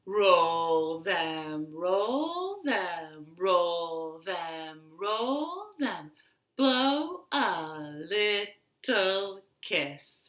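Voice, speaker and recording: A woman leads storytime for small children in a roomy, echoing home space. Her delivery is very slow and simple, with encouraging phrasing. The voice is bright and moves in lively swoops of pitch. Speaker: children's storytime host at a library. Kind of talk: children's storytime with rhymes and read-aloud. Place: living room at home.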